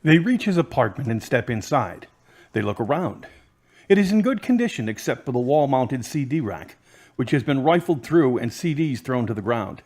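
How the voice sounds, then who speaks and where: A man's voice: medium pitch, big pitch swings, moderate pace, a little mellow. Man narrating plainly. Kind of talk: scripted narration.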